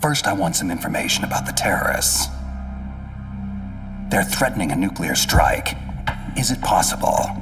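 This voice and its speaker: An actor playing a gruff, stealthy special-forces soldier in a video game drama, speaking tersely in a gravelly, breathy near-whisper. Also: male voice, very animated, normal speed, pauses often, far from the mic. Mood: urgent, questioning. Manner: terse, low-voiced radio reporting. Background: music.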